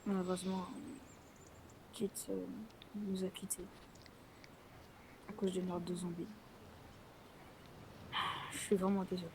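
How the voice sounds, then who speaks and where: An anxious, weary survivor of a zombie apocalypse acted by an amateur. The voice stays fairly monotone, with halting, repeated phrases. It comes from a homemade game film with clear noise in the recording. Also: mid-range voice, natural voice. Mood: sombre. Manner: halting, repetitive.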